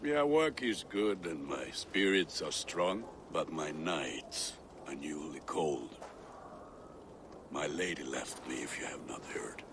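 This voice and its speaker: An actor voicing a boastful, lovelorn Norse blacksmith in a video game, with a melodramatic manner. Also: male voice, rumbling low, lively intonation, slow pace, noisy background, full character voice. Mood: lovelorn, wistful. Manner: theatrical, melodramatic. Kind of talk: video game character acting.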